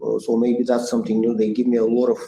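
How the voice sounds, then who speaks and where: A Bulgarian-accented man in his sixties speaks earnestly and thoughtfully, pausing often on "uh" and restarting his phrases. His voice is mellow and dark at a middle pitch. It sounds like a roomy, echoey space with a faint hiss behind him. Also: monotone, gravelly. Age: 60s. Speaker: guest entrepreneur. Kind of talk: conversational interview answers. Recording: echoey room with faint noise.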